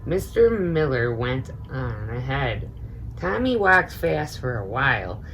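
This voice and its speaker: Very low-voiced woman reading a story. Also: slow pace, rough recording. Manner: expressive character voices with informal commentary. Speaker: storyteller, teaching assistant. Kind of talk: spontaneous read-aloud of a children's picture book with asides. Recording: noticeable background noise.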